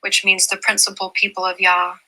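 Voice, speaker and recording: A man from the Cherokee Nation explaining in a measured way during a TV interview, listing examples. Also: sits high, completely flat delivery, crowd noise, low-quality recording. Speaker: interviewee in a TV clip.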